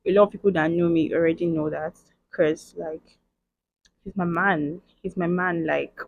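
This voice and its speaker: A woman's voice: fairly low pitch, fairly even intonation, normal speed, creaky, no room sound, lo-fi sound. Chatty woman in her twenties. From Nigeria. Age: 20s. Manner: chatty, playful, emphatic, with frequent "okay" and "like" tags. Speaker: vlogger. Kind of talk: casual Q and A vlog.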